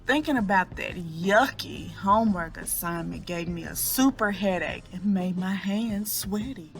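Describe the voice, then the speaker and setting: Woman reading a children's story over soft music. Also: mid-range voice, a little slow, creaky. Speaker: children's picture book reader. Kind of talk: read-aloud storytelling for children.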